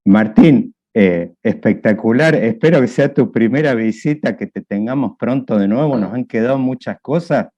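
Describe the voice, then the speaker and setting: A man's voice: mid-range voice, moderate pace, hardly any pauses. Man hosting, lo-fi sound. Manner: brief backchannels and warm sign-offs. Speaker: podcast host. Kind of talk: casual interview. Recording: dull lo-fi sound.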